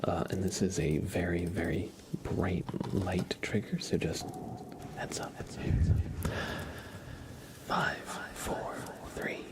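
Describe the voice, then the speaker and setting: A man hosting an ASMR livestream, speaking in a breathy whisper and murmur, informally chatting and gently guiding his listeners. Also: low voice, flat delivery, takes it slowly, long pauses.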